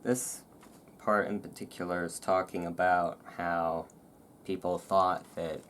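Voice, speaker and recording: A host reading aloud in a relaxed ASMR style, with informal, self-deprecating asides and rain and thunder behind. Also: low-pitched, measured pace. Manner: informal, self-deprecating, stumbles over foreign words. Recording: clear background noise of rain and thunderstorm. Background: rain and thunderstorm ambience.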